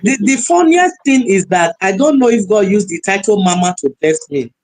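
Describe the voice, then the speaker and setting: A woman's voice: mid-range voice, lively intonation, moderate pace, a little mellow. Woman, middle-aged, from Nigeria, chatty, laughing, preacherly, video call. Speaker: pastor and panelist. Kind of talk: casual panel chat.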